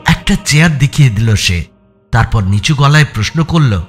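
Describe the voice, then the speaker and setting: A male narrator tells a suspense story in a dramatic, scripted style. His mid-pitched voice is highly animated and theatrical. Faint music plays behind him. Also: moderate pace. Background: background music.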